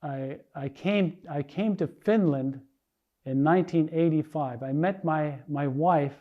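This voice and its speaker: A man's voice: fairly high pitch, measured pace, highs cut off. An older man from the United States giving an earnest sermon testimony, telling his story with frequent restarts. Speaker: preacher.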